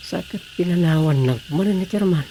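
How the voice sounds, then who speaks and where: Give this to a talkative, thoughtful villager in an Ilocano radio drama. His Filipino voice has an Ilocano accent and sits a little high, with a heavy gravelly creak. A faint layer of background noise sits under the recording. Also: brisk, mellow. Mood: somber, reflective.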